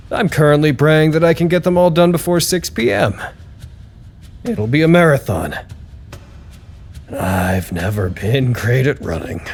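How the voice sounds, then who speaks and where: An actor playing a weary, earnest staffer who dictates a dry, self-deprecating work log in an audio drama. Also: male voice, mid-range voice, a little mellow, a little hiss or hum. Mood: wry, weary.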